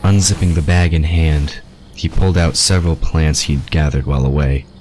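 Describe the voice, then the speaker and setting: A man's voice: very deep voice, steady tone, normal speed, darkish tone, slight background noise. A man narrating a scripted fantasy audio drama. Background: ambient sound effects.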